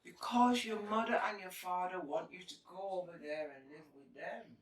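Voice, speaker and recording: A woman's voice: fairly low pitch, normal speed, crowd noise, natural voice. A woman playing a loving but firm elderly Caribbean grandmother in a drama, solemn and tender. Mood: solemn, gentle. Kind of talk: stage-style audio drama. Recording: echoey room, distant microphone.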